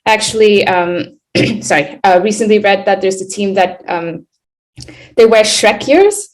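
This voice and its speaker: A woman's voice: mid-range voice, animated, unhurried. A woman from India with an American accent, speaking casually and thoughtfully as a panelist on a video call, with many fillers. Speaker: panelist, engineering director. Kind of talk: panel discussion.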